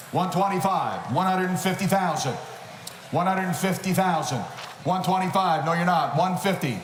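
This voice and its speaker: A man working as an auctioneer, calling bids in a rapid rhythmic chant and shouting the numbers. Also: high voice. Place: large auction hall. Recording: noisy reverberant hall.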